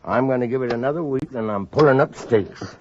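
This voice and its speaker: An actor playing a laconic frontier prospector in a 1950s radio western, with an American Western accent. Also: male voice, mid-range voice, brisk, close to an everyday voice. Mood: casual. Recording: muffled, faintly noisy 1950s radio recording.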